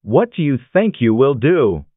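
Male phrase reader for a lesson, lively pitch, dry narrow-band sound. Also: a little slow, slightly rough, no echo. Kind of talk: repeating phrases for learners.

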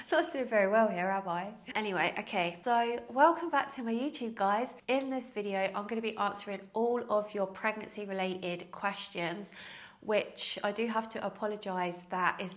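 A woman in her thirties with a nasal, cold-stuffed voice, chatting to camera in a casual Q&A, laughing at herself often. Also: medium pitch. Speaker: fitness vlogger. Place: small indoor room with plants. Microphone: lapel mic.

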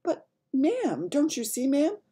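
A woman's voice: high voice, wildly swooping pitch, slow pace, mellow, voice pushed up, full character voice. A woman voicing an anxious, deferential royal maid in a storybook, flustered and quivering. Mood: anxious, insistent.

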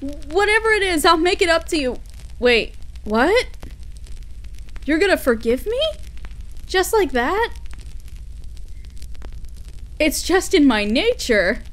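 A woman's voice: high voice, very animated, takes it slowly, pauses often, fairly bright tone, exaggerated voice, rough recording. A woman playing an affectionate, dorky, easily flustered workaholic mechanic girlfriend in an intimate roleplay audio, giggly and gushing. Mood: flustered, apologetic.

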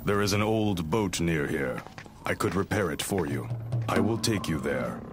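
Very deep, grave ice ninja warrior, cold and distrustful, over loud game music. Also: male voice, animated, normal speed. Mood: stern. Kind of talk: video game cutscene acting.

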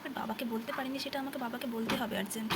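A woman's voice: a little high, fairly even intonation, rapid-fire, natural voice. Woman playing an arrogant young man, noisy recording. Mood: condescending. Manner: haughty, mixes English phrases into speech. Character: arrogant young man, status-obsessed, disdainful suitor. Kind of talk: amateur puppet-show dialogue.

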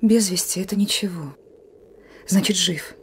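A woman playing a young female partisan sentry in a radio drama, blunt and colloquial, gruff yet dreamy. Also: on the low side, moderate pace, a little hiss or hum, close to an everyday voice. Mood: reassuring. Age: young adult. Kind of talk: Russian radio drama acting.

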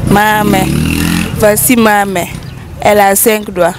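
A woman's voice: medium pitch, flat delivery, slow, very noisy. A teenage girl being interviewed outdoors, reciting a school rhyme in a sing-song way. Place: outdoors in a crowded street. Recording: outdoor crowd chatter.

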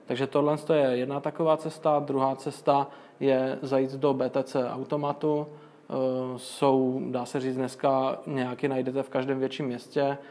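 Flat-voiced man explaining step by step. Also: medium pitch. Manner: flat, explanatory, many fillers and restarts. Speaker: guest, crypto magazine owner. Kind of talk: casual interview answers.

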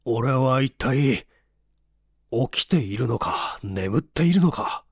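A man's voice: mid-range voice, animated, slow pace, husky, dry recording, voice pushed down, heavily acted. A forgetful, bewildered man in a storybook reading, voiced by a male narrator. He speaks hesitantly, puzzled by his own memory. The sound is close and dry. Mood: dazed doubt. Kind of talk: acted dialogue in storybook reading.

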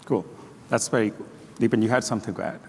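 Lower-voiced man moderating a panel. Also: quick pace, gravelly, a little mellow, slight background noise. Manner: casual, prompting questions. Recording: reverberant hall.

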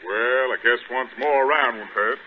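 A man's voice: mid-range voice, normal speed, close to an everyday voice. An actor playing an obliging male animal keeper in 1930s radio style. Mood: businesslike. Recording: tinny, hissy 1930s radio recording.

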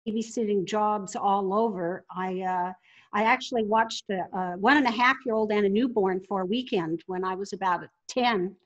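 A woman's voice: medium pitch, moderate pace, muffled recording. A woman telling stories conversationally as an interview guest. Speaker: podcast guest, entrepreneur.

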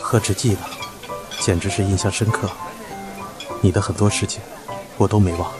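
A man's voice: fairly low pitch, fairly even intonation, normal speed, natural voice. A man playing a proud, prickly young office worker who is evasive about love, in an amateur audio drama. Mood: fond, nostalgic. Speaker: amateur voice actor. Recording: loud music behind the voice.

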